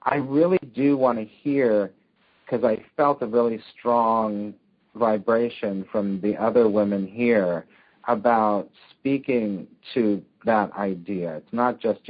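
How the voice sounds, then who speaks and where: Man, low voice, group leader, gentle, encouraging, dull lo-fi recording. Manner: gentle, encouraging, frequent affirming murmurs. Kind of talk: facilitating a group discussion. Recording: dull lo-fi sound.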